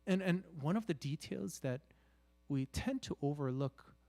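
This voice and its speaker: Male preacher, slightly high voice, very unhurried, conversational yet emphatic, halting delivery with rhetorical questions. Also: lively intonation, vocal fry, a little mellow. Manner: halting, with repeated "you know" and rhetorical questions. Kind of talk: conversational sermon.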